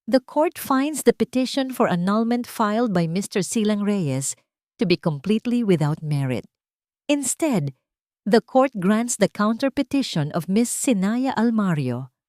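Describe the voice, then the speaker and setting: A woman's voice: medium pitch, expressive, measured pace, a little mellow, no room sound, voice pushed up, close to an everyday voice. Woman acting stern lady judge, authoritative, formal, unwavering, Filipino accent, audio story, dry recording. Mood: final, commanding. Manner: formal, firm, unwavering, clear.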